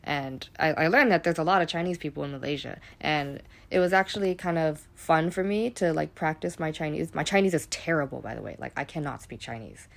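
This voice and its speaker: Low, gravelly young American woman. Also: brisk, few pauses. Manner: chatty, rambling, heavy use of "like", casual swearing. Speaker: travel vlogger. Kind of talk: casual travel vlog voice-over.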